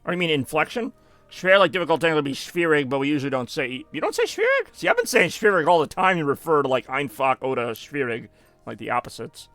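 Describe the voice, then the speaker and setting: Bright-voiced man streaming a game. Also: on the high side, sing-song, quick pace, dry recording, faint music behind. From United States. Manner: rambling, self-mocking, drops in German phrases. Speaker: gaming streamer. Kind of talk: live gameplay commentary.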